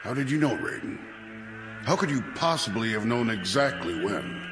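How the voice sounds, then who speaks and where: Man acting proud old army colonel, stiff, clipped, mid-pitched, vintage TV drama in reverberant sound with music. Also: sing-song, normal speed, close to an everyday voice. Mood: tense, indignant. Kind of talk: 1960s television drama acting.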